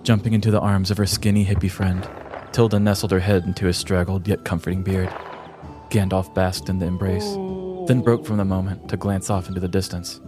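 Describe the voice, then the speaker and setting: Casting: male storyteller, low voice, measured and theatrical, comic fantasy narration over prominent music. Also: flat delivery. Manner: mock-epic, theatrical, measured. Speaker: podcast host and storyteller. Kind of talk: dramatic scripted comedic fantasy narration.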